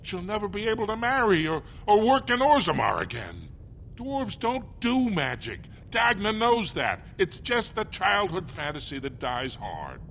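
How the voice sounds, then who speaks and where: An actor playing a gruff, worried middle-aged dwarf father in video game dialogue, with a gravelly voice. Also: high voice, wildly swooping pitch, unhurried, fairly bright tone, slight background noise, exaggerated voice. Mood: stern, exasperated.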